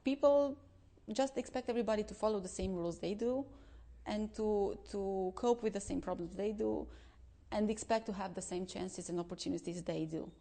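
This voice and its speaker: A woman, giving a deliberate interview statement as a local official and restarting phrases as she goes. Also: mid-range voice, creaky, dark tone.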